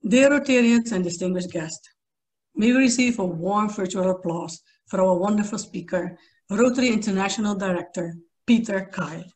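A woman's voice: medium pitch, moderate pace, darkish tone, poor audio. A woman reading a speaker's introduction aloud on a video call from a small room. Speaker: meeting introducer.

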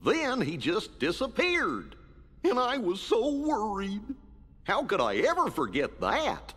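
A man voicing a goofy, kindly, slow-witted cartoon dog in a video game, bumbling and folksy, with a husky voice. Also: high voice, wildly swooping pitch, fairly bright tone, exaggerated voice. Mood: earnest, worried. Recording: echoing hall reverb.

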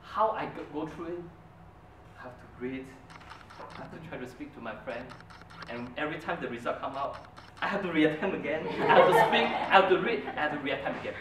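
A man's voice: a little high, fairly quick. A young man whose first language is Mandarin, giving an earnest prepared speech at a club meeting in a medium-sized indoor room, picked up by a distant camera. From Brunei. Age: young adult. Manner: earnest storytelling, with an animated, emphatic delivery. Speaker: club speaker. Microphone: far camera. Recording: roomy, distant, noisy room recording.

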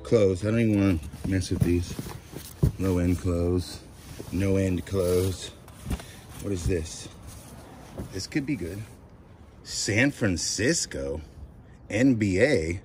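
A man in his forties with a lowish voice hosts a casual vlog. He talks informally and slangily, repeating phrases as he comments on what he handles. Clear outdoor noise and vehicle sounds run behind him. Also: takes it slowly, long pauses. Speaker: host of a storage auction vlog. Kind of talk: casual vlog chat and commentary while sorting finds. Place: outdoors at a storage unit lot, partly in a vehicle.

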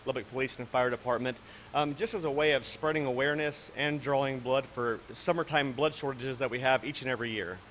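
A man's voice: mid-range voice, steady tone, fairly quick, noisy background. A man, answering interview questions in a large indoor mall space, earnest and promotional. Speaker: blood drive spokesman.

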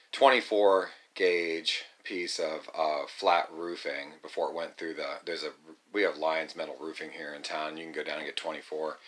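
Deep-voiced man explaining building work. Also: fairly bright tone. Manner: plain-spoken, explanatory, with frequent ums and repeats. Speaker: home builder showing his work. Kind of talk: casual how-to vlog. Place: unfinished wooden tiny home under construction, indoors. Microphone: handheld phone camera, filming himself off-screen.

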